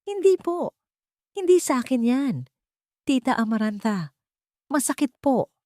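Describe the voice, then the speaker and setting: A frightened little girl played by a woman narrator pleads tearfully in a high voice. Her pitch swings widely, in the manner of a melodramatic audio drama. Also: lively intonation, measured pace, dark tone, no echo, clean studio sound. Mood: frightened, hurt. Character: terrified sobbing little girl begging her father.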